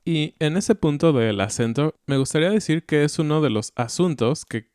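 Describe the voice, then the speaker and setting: Calm Mexican man, smooth dry voice. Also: a little high, normal speed, dry recording. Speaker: podcast co-host. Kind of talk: educational podcast chat.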